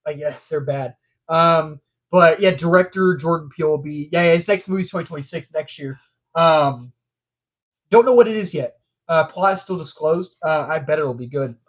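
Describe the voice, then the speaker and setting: A man's voice: fairly high pitch, moderate pace. A man hosting a casual movie news livestream, stuffed up from allergies, rambling and repeating himself with frequent ums.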